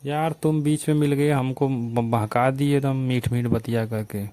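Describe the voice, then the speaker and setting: A man's voice: mid-range voice, fairly even intonation, a little slow, mellow. A man with an eastern Uttar Pradesh accent talking casually and accusingly on a recorded phone call. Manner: accusing, complaining.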